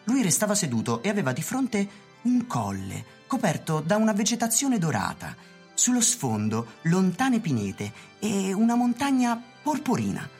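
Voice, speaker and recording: A woman's voice: mid-range voice, huge pitch swings, moderate pace, darkish tone. A woman reading literary narration for a radio theatre production. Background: music.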